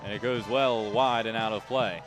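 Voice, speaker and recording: A man's voice: on the low side, fairly even intonation, moderate pace, over music. A man doing live radio play-by-play of a soccer match, describing each touch in clipped, urgent, emphatic phrases. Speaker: play-by-play announcer.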